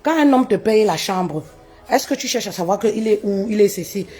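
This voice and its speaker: A woman, telling her own story live, her voice jumping high for emphasis and dropping low and quiet. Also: moderate pace, breathy edge. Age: 30s. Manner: expressive, swoops high for emphasis, drops low and quiet, laughs often. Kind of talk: animated personal storytelling. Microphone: phone on a live stream.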